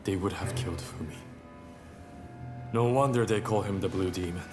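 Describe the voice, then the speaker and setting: An actor playing a grave, thoughtful samurai comrade in a dramatic video game cutscene. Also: male voice, deep voice, moderate pace, natural voice. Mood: grave, pondering. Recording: loud music bed. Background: dramatic music.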